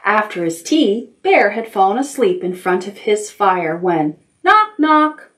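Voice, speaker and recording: A middle-aged woman leads a preschool storytime at an unhurried pace. Her voice sits at an average pitch, and she uses simple, encouraging phrases with plenty of repetition for small children. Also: sing-song, fairly bright tone, roomy sound, recorded from a distance. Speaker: library storytime host for preschoolers. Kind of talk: children's storytime, instruction and read-aloud. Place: indoor room decorated with stuffed toys.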